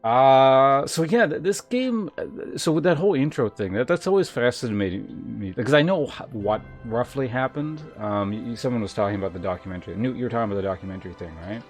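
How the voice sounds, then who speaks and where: A man's voice: mid-range voice, animated, fairly quick, few pauses. A man streaming a game, chatting casually in a wry, rambling, conversational way as he comments. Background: game music.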